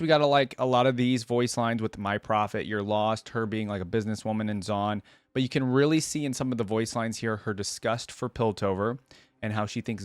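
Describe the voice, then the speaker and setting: Low-voiced man giving casual, rambling commentary. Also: no echo. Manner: musing, rambling, hedging. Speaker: reaction video host.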